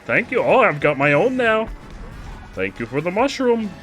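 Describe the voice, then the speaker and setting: A young man casually commenting on a kart race while chatting with his viewers, excitable and quick to laugh. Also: high voice, faint music behind. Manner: excitable, giggly, chats with viewers. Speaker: streamer.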